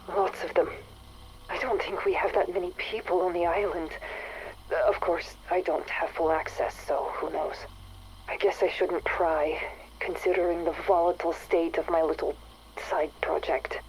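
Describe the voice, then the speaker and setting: An actress playing an anxious, isolated research scientist who confides guardedly in an audio log. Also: female voice, lowish voice, darkish tone. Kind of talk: acted audio log monologue. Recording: faintly noisy radio-like audio log.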